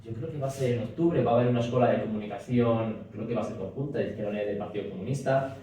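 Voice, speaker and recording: A man speaking at a political meeting, pushing his points rapidly and looping back with restatements, recorded in a meeting room. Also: medium pitch, mellow. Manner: rapid, talks in restarts with frequent "es decir". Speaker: speaker at a party talk. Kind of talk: public talk and Q&A. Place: meeting room at a party office. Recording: roomy echo, distant mic, faint hiss.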